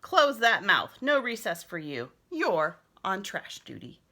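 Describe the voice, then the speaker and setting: A woman's voice: medium pitch, big pitch swings, takes it slowly, sharp tone, full character voice. A woman voicing stern, exasperated grown-up women scolding a child in a children's storybook. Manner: commanding, exasperated.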